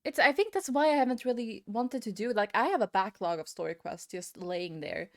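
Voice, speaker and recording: A woman's voice: mid-range voice, lively intonation, fairly quick. A woman giving a casual, rambling opinion rant as a gaming video creator, often hesitating and repeating herself.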